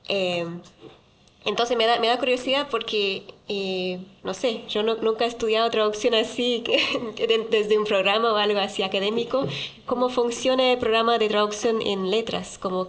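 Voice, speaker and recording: A woman moderating a talk in a university auditorium, her voice sitting right in the middle of the range. She speaks haltingly and fills gaps with soft hesitations as she introduces the panel. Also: mid-range voice, a little hiss or hum. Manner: halting, many filler sounds.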